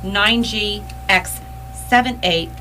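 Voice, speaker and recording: A woman's voice: medium pitch, takes it slowly, bright, forceful tone, a little hiss or hum, low-quality recording. A woman playing a sensible, capable space pilot in radio theatre, precise and matter-of-fact. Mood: focused. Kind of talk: staged radio theatre acting.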